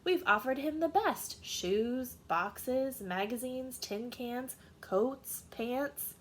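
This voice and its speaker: A woman's voice: high-pitched, animated, takes it slowly, fairly bright tone, a little hiss or hum, voice pushed up, full character voice. A woman voicing a proper, disapproving mother goat from a storybook in a motherly, exclaiming manner. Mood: appalled.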